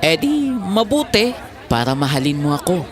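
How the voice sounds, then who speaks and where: A man's voice: high-pitched, sing-song, moderate pace. Man acting cheeky young student, crowd around. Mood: teasing. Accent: Filipino. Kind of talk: Tagalog audio drama acting.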